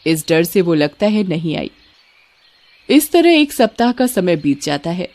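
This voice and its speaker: A woman's voice: lowish voice, big pitch swings, measured pace, a little mellow. A woman narrator telling a scripted children's story.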